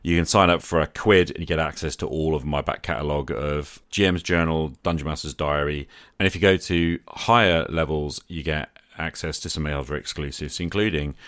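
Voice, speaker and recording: A man's voice: extremely low pitch, a bit bright, dry recording. A middle-aged man hosting a podcast, talking reflectively and gratefully as he replies to listeners. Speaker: podcast host. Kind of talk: solo podcast talk replying to listener calls.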